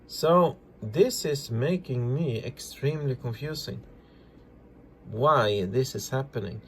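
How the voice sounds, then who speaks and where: A man presenting a casual hands-on repair tutorial, talking through each step in halting, simple non-native English. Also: medium pitch, a little hiss or hum. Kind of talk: casual hands-on demonstration, narrating as they work.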